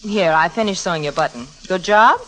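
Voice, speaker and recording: Lowish-voiced, teasing young socialite. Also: female voice, lively intonation, brisk, a bit bright. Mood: sweet. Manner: coy, teasing. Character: teasing, affectionate young society woman, coolly playful. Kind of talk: 1940s radio acting. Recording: noisy, clicky 1940s radio recording.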